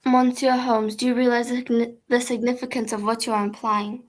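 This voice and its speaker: A formal, authoritative female magistrate in an old-style radio mystery, played by an amateur actress. Her voice is fairly high and very even as she questions witnesses. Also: brisk, natural voice. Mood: firm. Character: formal, authoritative female French prefect judging a case.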